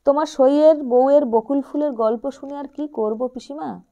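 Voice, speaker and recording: A woman's voice: high-pitched, normal speed, dark tone, pitched above the performer's own voice. Woman reading as teasing young nephew.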